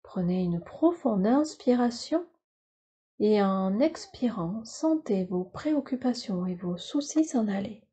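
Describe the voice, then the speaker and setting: Slow, soft-edged woman guiding relaxation. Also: medium pitch, husky. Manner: calm, soothing, instructional, with measured phrases. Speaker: yoga nidra teacher. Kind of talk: guided relaxation meditation.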